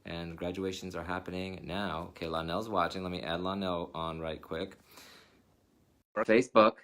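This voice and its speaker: A man's voice: deep voice, fairly even intonation, normal speed, fairly bright tone. A man in his forties hosting a casual livestream chat from his living room over a video call, upbeat and chatty, slightly nasal from allergies. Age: 40s. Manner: upbeat, chatty, reads comments aloud. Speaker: host.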